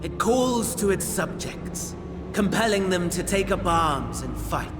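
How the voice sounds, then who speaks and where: An actor playing a sly imperial servant in a video game, smugly courteous and reverent, with florid, preacher-like formal delivery. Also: male voice, high voice, very animated, a little slow, music in the background. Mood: reverent, grandiose. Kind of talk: theatrical video game cutscene narration.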